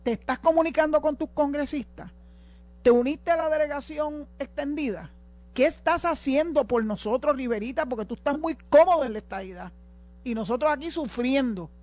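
A woman's voice: sits high, darkish tone. An older woman with a Puerto Rican accent hosting a political call-in show in a direct, emphatic way. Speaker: radio call-in host.

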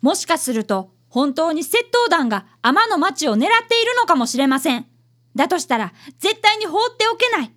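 Woman playing an eager, high-voiced little boy detective. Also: sing-song, moderate pace, fairly bright tone. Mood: earnest narrating. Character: eager, dutiful fifth-grade boy apprentice detective. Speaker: narrator and character in a radio drama. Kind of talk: radio drama acting and narration.

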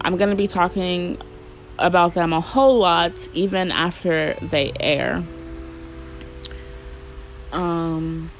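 A podcast host in a casual solo monologue, rambling and chatty, with lots of fillers and the odd swear word. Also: medium pitch.

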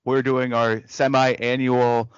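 A man's voice: medium pitch, level tone, slow pace, clean tone, no room sound, lo-fi sound. Joking man in his thirties hosting. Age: 30s. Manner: joking, teasing, upbeat. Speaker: podcast host. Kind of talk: casual podcast chat.

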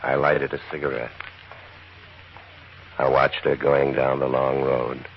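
A man playing a lovesick American narrator in an old radio drama, speaking quietly and wistfully. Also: very deep voice, completely flat delivery, measured pace, slight background noise. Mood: hushed longing. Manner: quiet, wistful, drops to near whisper. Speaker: first-person narrator of a radio play. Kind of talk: 1940s-50s radio drama acting. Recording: dull old radio broadcast with music.

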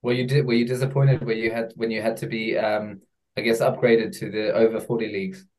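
A man hosting a podcast, chatting loosely with a guest and pausing on fillers as he thinks. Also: on the low side, level tone, darkish tone, low-quality recording. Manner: hesitant, with many fillers and quick laughs. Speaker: podcast host. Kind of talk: casual interview chat.

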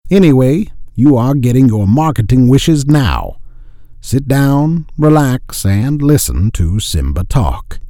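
Slow, lively-pitched man announcing. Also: no room sound, clean studio sound. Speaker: show intro announcer. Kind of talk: scripted intro.

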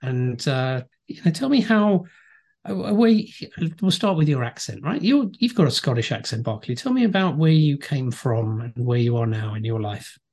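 A man's voice: medium pitch, animated, moderate pace, darkish tone. A man hosting a podcast, upbeat and friendly, interviewing his co-host. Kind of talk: casual podcast chat.

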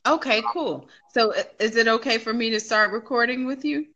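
A woman's voice: on the high side, moderate pace, sharp tone, dull audio. A woman hosting a casual podcast interview who asks encouraging, upbeat questions.

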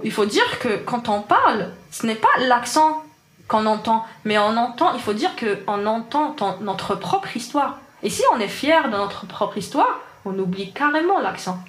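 A woman's voice: on the high side, a bit bright, reverberant. A woman in her twenties from Algeria, Arabic her first language, speaking French with an Algerian accent, earnestly reflecting on her experience in an interview. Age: 20s. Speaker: interviewee, engineer. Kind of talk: personal testimony in an interview.

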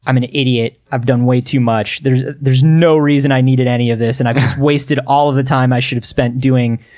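Man co-hosting a podcast, halting delivery. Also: medium pitch, steady tone, darkish tone. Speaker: podcast co-host. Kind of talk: casual conversational storytelling.

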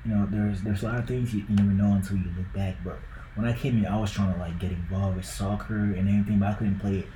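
Young Ghanaian man, low easy voice. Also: steady tone, fast talker, mellow, echoey room, a little hiss or hum, rough recording. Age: young adult. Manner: loose and rambling, with many likes and bros. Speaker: podcast co-host. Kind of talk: casual podcast chat.

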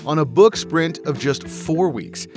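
A man's voice: fairly high pitch, lively intonation, measured pace, music in the background. A man reading scripted narration for a feature report.

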